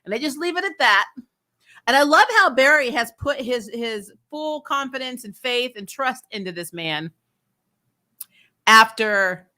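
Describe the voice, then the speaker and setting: A woman's voice: fairly high pitch, lively intonation, measured pace, bright, forceful tone. A woman hosting a casual review vlog, ranting and recapping in a blunt, sarcastic, exasperated way with some swearing. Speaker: host of a TV show review channel. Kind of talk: casual opinionated recap and rant.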